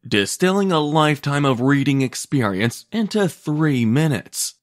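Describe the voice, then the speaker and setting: Male narrator, scripted article reading, slow pace, animated intonation, measured short phrases. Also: fairly high pitch.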